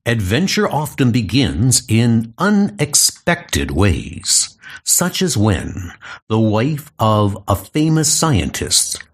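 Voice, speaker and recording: A man's voice: lowish voice, huge pitch swings, slow, husky. A man narrating a dramatized comic-book story as a scripted audio drama.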